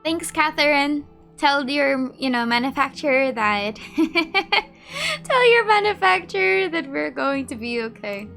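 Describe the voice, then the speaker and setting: A woman gamer reacts casually as she plays. Her voice is high and bright, playful and expressive, with faint music in the background. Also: a little slow, gravelly. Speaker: gaming video creator. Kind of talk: casual playthrough commentary with reactions.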